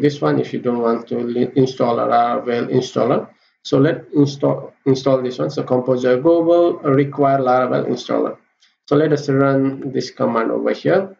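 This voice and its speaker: A man walks through a coding tutorial in a gravelly, creaky voice, stopping often with fillers and restarting his sentences. He sounds roomy and dull, with a faint hiss behind him. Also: medium pitch, darkish tone. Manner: halting, many fillers and restarts. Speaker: programming tutor. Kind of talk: screen-recorded coding tutorial.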